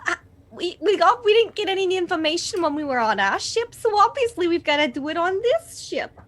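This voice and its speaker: A woman's voice: very high-pitched, big pitch swings, fairly quick. A woman improvising in-character dialogue as a player in a tabletop roleplaying game, speaking in a tentative way.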